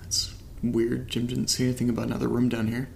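Casting: uneasy found-footage horror diarist, everyday mid-pitched voice, low-key monotone, hesitant muttering, fry at the edges. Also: male voice, slight background noise. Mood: wary, puzzled. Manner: hesitant, halting, casual muttering to listeners. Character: uneasy man documenting his new house, casual, nervous. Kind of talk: found-footage horror audio drama, spoken diary.

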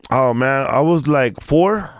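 A man's voice: medium pitch, expressive, slow pace, dry recording, clean studio sound. A man in his fifties from Mexico, a comedian chatting as a guest and telling a loose, rambling story with many restarts. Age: 50s. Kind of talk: casual chat.

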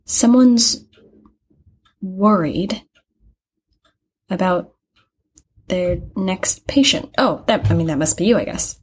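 A woman's voice: fairly low pitch, animated, takes it slowly, long pauses, a little mellow, slightly rough, heavily acted. A young woman playing an earnest, chatty art student in an audio drama, rambling and hesitant as she explains herself. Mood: hesitant, concerned. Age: young adult. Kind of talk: naturalistic audio drama acting.

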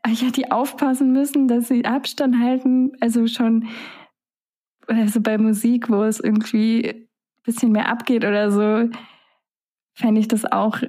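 Relaxed young German woman musing aloud. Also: on the high side. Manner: relaxed, musing, many fillers. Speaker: singer-songwriter guest. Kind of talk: casual interview talk.

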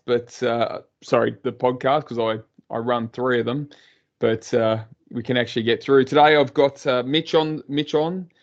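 A man's voice: mid-range voice, highs cut off. An Australian man hosting a podcast interview, chatting casually and teasing his guest, with frequent restarts. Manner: joking, teasing, halting restarts. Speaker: podcast host.

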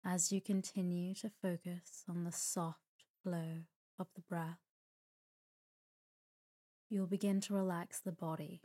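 Slow, breathy woman guiding sleep meditation. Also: on the low side. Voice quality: soft, breathy, near-whisper at times. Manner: calm, soothing, gentle, with long pauses. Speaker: meditation guide, podcast host. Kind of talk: guided sleep meditation, slow scripted narration.

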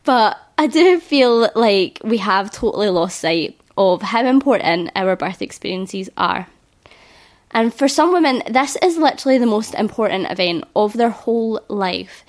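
A woman in her thirties hosting a solo podcast as a birth educator. Her voice is slightly croaky from illness, and she talks in an earnest, reassuring way. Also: a little high.

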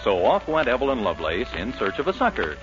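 Lively, wry radio narrator. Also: male voice, mid-range voice, fast. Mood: wry, mock-dramatic. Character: wry, mock-dramatic male storyteller. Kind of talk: scripted 1940s radio comedy narration. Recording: muffled 1940s radio broadcast, music behind.

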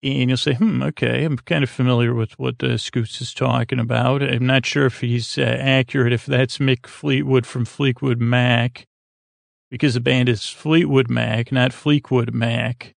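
A man with a gravelly voice hosting a sleep podcast, rambling whimsically and haltingly, often trailing off and circling back. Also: medium pitch, steady tone, no echo, studio quality. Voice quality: very creaky, gravelly. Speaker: podcast host.

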